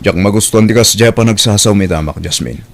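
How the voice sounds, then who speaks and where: A man's voice: fairly low pitch, fairly quick, music in the background, close to an everyday voice. A man playing a stern, exasperated young husband protective of his father, in an audio drama with an Ilocano accent. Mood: angry.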